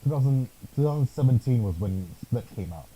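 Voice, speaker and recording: A man's voice: medium pitch, fairly quick, breathy edge, low-quality recording. A man co-hosting a podcast, chatting casually and joking, swearing freely. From Thailand. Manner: swears a lot, animated and joking. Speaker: podcast co-host. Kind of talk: casual chat.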